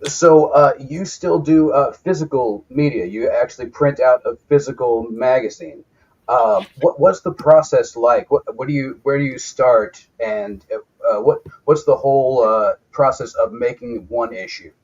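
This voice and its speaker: A man's voice: medium pitch, a little slow, darkish tone, husky, lo-fi sound. A man hosting a casual interview, loose and friendly, thanking his guest and asking questions. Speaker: show host.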